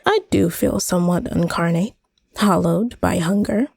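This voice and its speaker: A woman narrating a first-person short story expressively, with measured, deliberate phrasing. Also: on the low side, gravelly, darkish tone, breathy edge, no echo.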